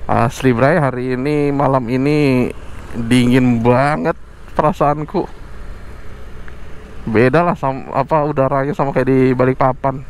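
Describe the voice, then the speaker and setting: A man's voice: medium pitch, fairly even intonation, measured pace, long pauses, low-quality recording. Chatty motovlogger man on a night ride. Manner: chatty, joking, addresses viewers as bro. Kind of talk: casual riding vlog chat. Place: on a motorbike on night city streets. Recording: faint road noise from a helmet camera.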